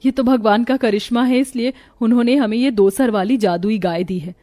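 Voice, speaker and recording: A woman voicing a worried, devout poor village wife in a cartoon story, speaking softly and pleadingly. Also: fairly high pitch, a little fast, no room sound, soft background music, lightly acted.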